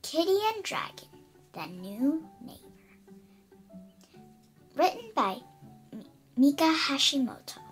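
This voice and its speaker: A woman's voice: high voice, big pitch swings, takes it slowly, pauses often, soft background music, rough recording. An eight-year-old girl reading a children's picture book aloud expressively and carefully, stumbling over some words. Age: child, about eight. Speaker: young storybook reader.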